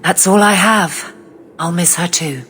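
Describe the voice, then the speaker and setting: Casting: woman for guarded, plain-spoken game warrior, deep female register, restrained tenderness. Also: measured pace, natural voice. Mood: consoling. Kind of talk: dramatic video game voice acting.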